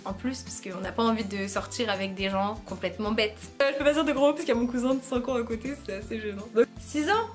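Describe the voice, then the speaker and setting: A woman's voice: on the high side, fast talker, few pauses, faint music behind. A young woman from France chatting casually to her camera at home, playful and self-mocking, often breaking into laughter. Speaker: YouTuber. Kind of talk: casual vlog chat to camera.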